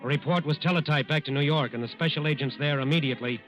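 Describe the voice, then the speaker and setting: Man acting a forceful old-radio crime narrator. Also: on the high side, fast talker, close to an everyday voice. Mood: urgent, grave. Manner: emphatic, declamatory. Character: urgent, authoritative male crime-drama announcer. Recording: muffled old-time radio recording. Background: music.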